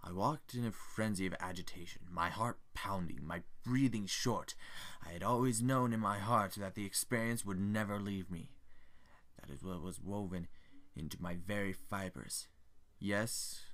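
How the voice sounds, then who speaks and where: A man playing a haunted, melancholy older gentleman in a measured, formal dramatic stage monologue. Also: low-pitched. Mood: haunted, grave. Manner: measured, formal, brooding, theatrical.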